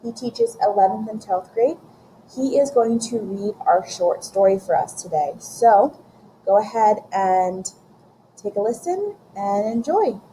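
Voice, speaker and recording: A woman's voice: medium pitch, animated, unhurried, dark tone, slight background noise, low-quality recording. A woman presenter giving an informal introduction to a story read-aloud. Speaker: presenter introducing a guest reader.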